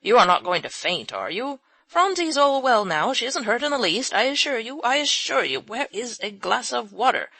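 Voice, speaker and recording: A woman voicing a fussy, irascible old gentleman who scolds loudly, in a storybook reading. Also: fairly low pitch, moderate pace, gravelly, no echo, full character voice. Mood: fussing. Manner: blustering, loud scolding.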